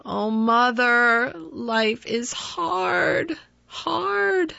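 A woman's voice: medium pitch, measured pace, a bit bright, dry recording, lightly acted. A woman reading the lines of a broken, ashamed grown son, in a pained confessing tone. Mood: anguished. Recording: dull lo-fi dry recording.

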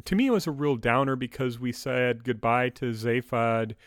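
A man's voice: medium pitch, normal speed, no echo, clean studio sound. A man in his fifties co-hosting a podcast, giving fan commentary in casual conversation. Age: 50s. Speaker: podcast co-host.

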